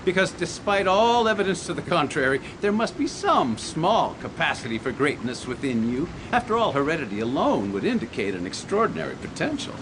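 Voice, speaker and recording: A pompous, teasing visionary father in comic radio theatre. His voice sits a little high and swings widely as he moves between grand proclamations and blunt condescension. There is clear noise behind him. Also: big pitch swings, a little fast, hardly any pauses. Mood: scornful.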